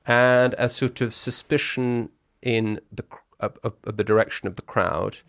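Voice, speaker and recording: A man's voice: mid-range voice, steady tone, moderate pace, a bit bright, no room sound, clean studio sound. A man in his forties who grew up in Brussels, speaking as an interview guest. He talks in a reflective, articulate and wry way, full of restarts and fillers.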